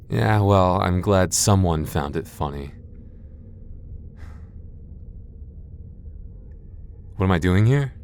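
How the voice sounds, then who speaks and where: A man playing a timid, soft-hearted young knight in an ASMR fantasy roleplay, stammering nervously and putting himself down. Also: sits low, moderate pace, a little hiss or hum. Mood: nervous, relieved. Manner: stammering, self-deprecating, nervous.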